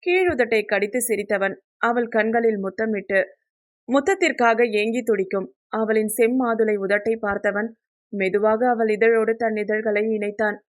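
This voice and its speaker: Expressive woman narrator, slightly high voice. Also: moderate pace. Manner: expressive, acts out the dialogue. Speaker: audiobook narrator. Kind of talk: dramatic scripted narration of a romance novel.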